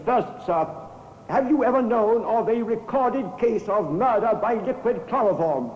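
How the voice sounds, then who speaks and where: An actor playing a formal, probing defending counsel who speaks deliberately and rhetorically in old-time radio courtroom style. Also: male voice, high voice, animated. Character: eloquent, probing male defending counsel pleading to a jury. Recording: dull, echoey old radio recording, long reverb.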